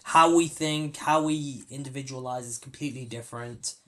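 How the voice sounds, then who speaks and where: A man hosting a film podcast, talking casually in a rambling, halting way with many restarts. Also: mid-range voice, a bit bright. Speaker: podcast host. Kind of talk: casual film review chat.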